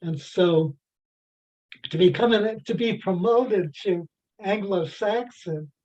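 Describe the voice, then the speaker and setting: A slow, deliberate speaker in an adult class discussion, feeling for each phrase with fillers and restarts. The tone is mellow and a little dark. It comes through a dull, lo-fi video call. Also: mid-range voice. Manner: halting, heavy with "you know" fillers and restarts. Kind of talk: group discussion. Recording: dull lo-fi sound.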